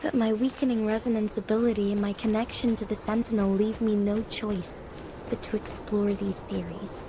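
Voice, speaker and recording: A young woman playing a resolute, devoted young leader in a video game, speaking in an earnest, measured, formal way. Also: medium pitch, noisy background, natural voice. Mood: determined. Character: solemn young female leader, resolute, devoted to her guardian. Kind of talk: scripted video game voice acting. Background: music behind the voice.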